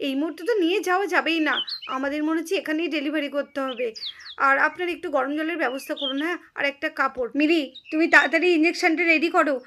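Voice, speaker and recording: Puppet drama lady doctor, voiced by a woman: grave, bossy, urgent, high voice over faint noise. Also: fast talker, a bit bright. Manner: urgent, bossy, repeats instructions.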